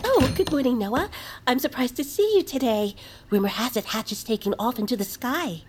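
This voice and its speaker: A polite townswoman with a fairly high voice. She is chatty and plays it in family audio drama style. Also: lively intonation, moderate pace, darkish tone, husky. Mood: pleasantly curious.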